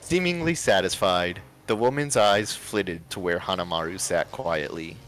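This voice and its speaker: A man's voice: deep voice, normal speed, a bit bright. A man reading a story aloud as a livestream narrator, expressively and with casual asides. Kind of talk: expressive fan fiction read-aloud with casual banter. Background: music.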